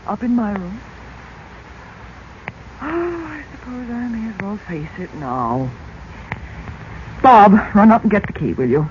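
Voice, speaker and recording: A woman's voice: medium pitch, expressive, measured pace, pauses often, slight background noise, natural voice. An actress playing an anxious middle-aged mother of two teenagers in 1940s radio drama style, on a dull recording. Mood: uneasy, yielding.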